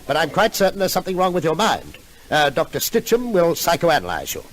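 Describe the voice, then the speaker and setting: A man's voice: a little high, wildly swooping pitch, a little fast. An actor playing a fussy, exasperated father in an old-time radio comedy sketch. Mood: fussy, worried. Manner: fussy, stammering repetitions when flustered. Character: flustered, exasperated father, suspicious of his daughter. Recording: old radio recording, faint audience.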